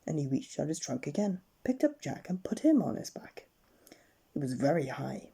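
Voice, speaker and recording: A woman reading a bedtime story aloud with expressive, dramatic narration. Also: deep voice, normal speed, gravelly, husky.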